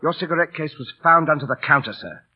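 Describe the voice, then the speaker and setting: A working-class street entertainer in a vintage radio drama. His voice is husky and fairly high, his manner deferential and fussily protective. The recording is dull with age. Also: animated, fast talker. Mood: deferential, guarded. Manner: polite, cautious, fussing. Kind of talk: 1930s-40s radio acting.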